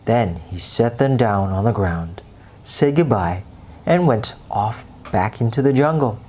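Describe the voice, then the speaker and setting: A woman's voice: rumbling low, animated, a little slow, warm tone, husky. A woman reading a children's bedtime story aloud as storyteller.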